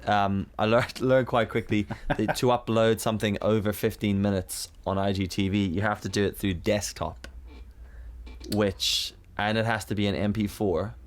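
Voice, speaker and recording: A man with a loud, boomy South African accent, co-hosting a casual chat show, swearing freely and laughing at himself. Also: little pitch movement, normal speed. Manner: swears freely, laughs often, self-mocking. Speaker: podcast co-host.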